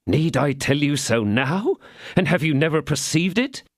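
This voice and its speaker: Man voicing a young male swordsman, ardent, flattering, sentimental, lifted voice, very lively. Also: on the high side, moderate pace, no room sound, clean studio sound, pitched above the performer's own voice. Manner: theatrical, sentimental pleading.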